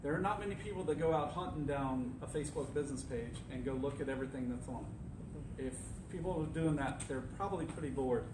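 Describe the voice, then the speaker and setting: A man's voice: medium pitch, quick pace. A man on a discussion panel, explaining things in a direct, advice-giving way. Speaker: panelist, agency CEO. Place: university lecture room. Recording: echoey room, distant mic, noisy.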